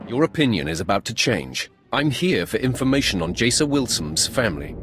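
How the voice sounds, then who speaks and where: A man's voice: mid-range voice, very animated, fairly quick, music in the background, close to an everyday voice. A male actor playing a ruthless, sardonic Sith warrior in a video game, with a cold and menacing manner. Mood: dry arrogance. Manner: cold, menacing, dryly arrogant. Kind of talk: video game dramatic acting.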